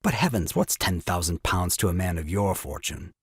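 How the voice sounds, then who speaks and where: Young husband and new father for stage farce: glib bluffer, husky, lively intonation. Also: sits low, normal speed, no echo, clean studio sound, heavily acted. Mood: brazenly confident. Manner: glib, mock-sympathetic, formal. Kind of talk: comic stage farce acting.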